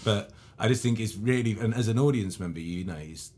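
Male co-host and storyteller; very deep voice, enthusiastic, swears freely. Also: a little fast, a little mellow. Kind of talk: casual podcast chat.

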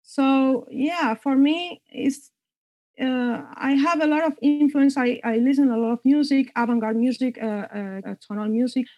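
A woman composer from Cuba speaks in a fairly high voice, halting and searching for words as she reflects. The sound is dull and lo-fi, with a very dry, close feel. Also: a little mellow, no room sound. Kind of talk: reflective interview answers.

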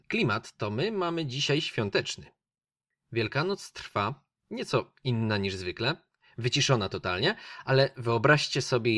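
A man's voice: fairly low pitch, a bit bright, no room sound, studio quality. A man hosting a radio film show in a casual, rambling monologue, speaking directly to his listeners.

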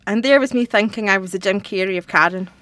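A young woman playing a dry, wary teenage girl who is new at school, deadpan, in a comic audio drama. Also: medium pitch, quick pace, no echo, natural voice. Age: young adult. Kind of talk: light comedic audio drama dialogue.

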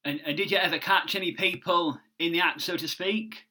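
A man hosting a casual interview. His voice is fairly high and very bright. He asks brief questions and drops in quick reactions. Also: fairly even intonation. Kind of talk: conversational interview.

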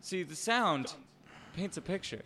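A man's voice: sits high, expressive, unhurried, crowd noise. A young man joking and teasing through crude casual banter.

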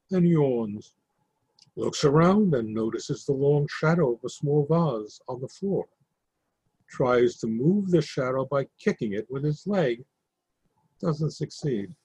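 A man reading a play's stage directions aloud in a measured, even way. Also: fairly high pitch, husky, no room sound, rough recording.

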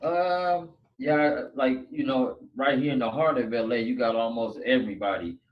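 A laid-back man from Los Angeles, joining a podcast as a guest over a remote link. The line sounds dull and muffled. His voice sits at a middle pitch. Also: moderate pace, recorded from a distance. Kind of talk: casual chat.

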